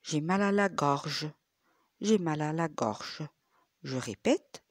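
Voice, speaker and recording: A woman with an Egyptian Arabic accent teaching French, explaining quickly and repeating phrases slowly and clearly. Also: low-pitched, animated, dry recording, clean studio sound. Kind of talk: language lesson, reading dialogues aloud.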